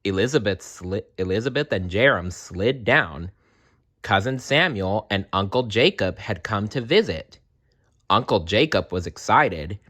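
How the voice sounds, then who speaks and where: This is a children's read-aloud narrator with a low-pitched voice. The delivery is slow and very animated, with dramatic pauses and playful asides to the listeners. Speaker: storyteller reading aloud.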